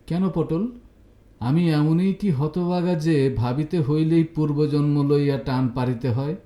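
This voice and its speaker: A man's voice: on the high side, unhurried, dark tone, close to an everyday voice. A man voicing a good-humoured, embarrassed young bachelor who protests and pleads in an audio story. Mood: wry.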